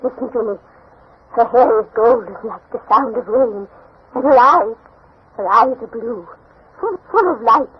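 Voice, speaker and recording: A woman's voice: on the high side, a little slow, long pauses, a crowd in the background, close to an everyday voice. An actress playing a tender, remorseful old grandmother in an earnest, pleading 1940s radio drama style. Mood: gentle, grave. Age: older. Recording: muffled, noisy 1940s radio recording.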